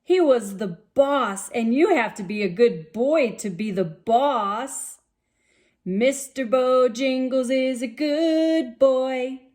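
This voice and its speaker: Female children's storybook reader. High voice, unhurried delivery, expressive, sing-song touches. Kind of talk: read-aloud storytelling for young children.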